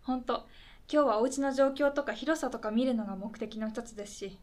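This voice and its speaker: A woman's voice: on the high side, a little fast, a little mellow, natural voice. A woman acting in a conversational radio drama as a polite welfare caseworker, patient, reassuring and a little tentative.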